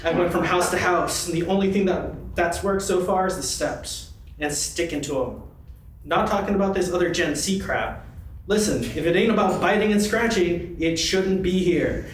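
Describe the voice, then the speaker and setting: Man voicing a blunt dog in a boomy room. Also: normal speed, natural voice. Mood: blunt, scornful. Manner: blunt, opinionated. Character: blunt, indignant male dog in support group. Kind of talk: amateur sock puppet show. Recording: echoey convention room, faint noise.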